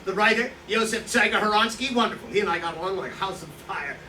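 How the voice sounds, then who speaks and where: A distant, noisy recording of a staged play with a man acting a proud old drinker of a writer. His voice sits a little high and jumps around in pitch. He rambles, halts and defends himself boastfully. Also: lively intonation, sharp tone, full character voice. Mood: nostalgic, animated. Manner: rambling, halting, self-justifying. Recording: distant echoey room, noisy draft recording.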